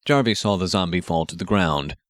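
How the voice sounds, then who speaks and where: A man's voice: deep voice, a little fast, fairly bright tone, dry recording, clean studio sound. A man narrating an action story as a scripted audiobook reading.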